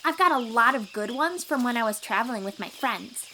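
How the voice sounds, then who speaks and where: A woman playing a cheerful, boastful young sea-dwelling warrior in an audio roleplay, eager and expressive as she talks to the listener. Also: a little high, a little fast, slight background noise, rough recording. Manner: eager, bragging, reassuring, expressive storyteller.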